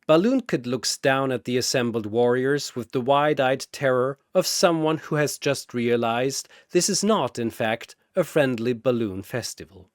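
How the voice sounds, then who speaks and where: A man's voice: medium pitch, animated, a little slow, hardly any pauses, no room sound, studio quality. A man giving dramatic scripted narration as a storyteller.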